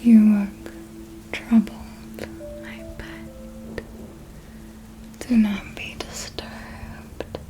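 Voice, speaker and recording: A woman playing a seductive, remorseless immortal vampire mentor in an ASMR roleplay, speaking in a breathy whisper with deliberate pauses. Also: mid-range voice, little pitch movement, soft background music, poor audio. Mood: soothing, persuasive. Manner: slow, hushed, deliberate storytelling with pauses.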